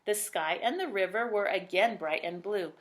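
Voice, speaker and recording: A woman's voice: medium pitch, normal speed. A woman reading aloud expressively in the style of a children's storybook.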